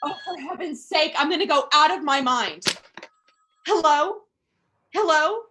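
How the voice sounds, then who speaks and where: A woman's voice: sits high, expressive, measured pace, background noise. A woman playing a nervous, demanding bedridden invalid in a radio play, fretful, indignant and melodramatic. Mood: frantic, panicky.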